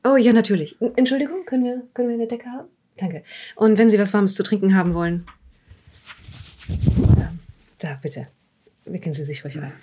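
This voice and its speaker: A woman playing a polite, patient police interviewer in an improvised audio drama, courteous and a little halting. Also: medium pitch, mellow, a little hiss or hum, lightly acted. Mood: polite, flustered.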